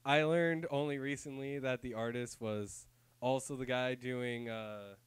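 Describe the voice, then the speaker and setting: A man's voice: medium pitch, fairly even intonation, a little slow, clear, resonant tone, no echo. A man co-hosting a review in casual chat, with the wry, keen talk of a fan. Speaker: comic book review co-host.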